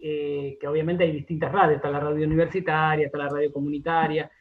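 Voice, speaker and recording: An Argentine man being interviewed on radio, explaining in a halting, conversational way and often repeating his words. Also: on the high side, level tone, phone-quality sound. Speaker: interview guest, radio drama director and writer.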